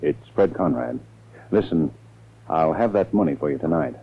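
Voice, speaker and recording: A commanding, scheming lawyer in an old radio drama, heard through a thin, phone-like recording. His husky voice swings in pitch as he presses his point. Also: big pitch swings, moderate pace, full character voice. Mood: cold insistence. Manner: firm, insistent, commanding. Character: scheming, authoritative lawyer urging and pressuring others. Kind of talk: 1940s radio drama acting.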